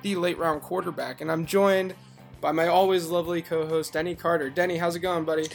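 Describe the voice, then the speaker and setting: A man co-hosting a casual sports podcast, his voice raspy and hoarse from yelling, talking upbeat with loud openings. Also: on the high side, level tone, fairly quick, faint music behind. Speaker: podcast co-host.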